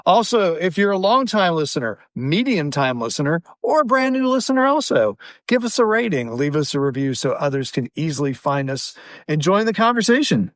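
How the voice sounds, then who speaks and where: Male co-host, slightly high voice, agreeable casual banter, dry sound. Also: no room sound. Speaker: podcast co-host. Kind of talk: casual chat.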